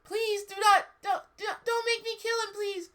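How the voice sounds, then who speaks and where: A man's voice: extremely high pitch, level tone, measured pace, sharp tone, voice pushed up, full character voice. A man voicing a dramatic goth teenage girl from a story, whiny, exaggerated and pleading.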